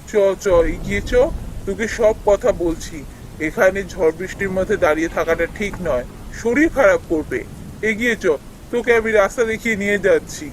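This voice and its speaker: A man's voice: high-pitched, brisk, a little hiss or hum, lightly acted, low-quality recording. A man playing the sorrowful ghost of an office colleague in a cartoon story, speaking in a familiar, cautioning, brotherly way. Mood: urgent, protective. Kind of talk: dramatic cartoon voice acting.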